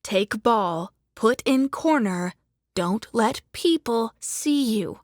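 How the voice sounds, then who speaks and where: A woman playing a resourceful, determined young thief in an audiobook drama, giving quiet, deliberate commands. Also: on the high side, lively intonation, dry recording, clean studio sound. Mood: careful, instructing.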